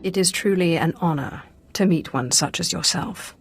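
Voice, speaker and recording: A poised female Sith in a video game. She is self-assured and coolly persuasive, her voice slightly low. Soft music sits behind her. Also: moderate pace, gravelly. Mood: smoothly persuasive.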